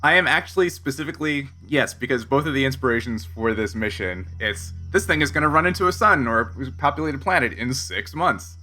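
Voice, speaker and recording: A man narrating a tabletop sci-fi game as game master, halting and correcting himself as he explains. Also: mid-range voice, lively intonation, sharp tone, soft background music. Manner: halting, self-correcting delivery.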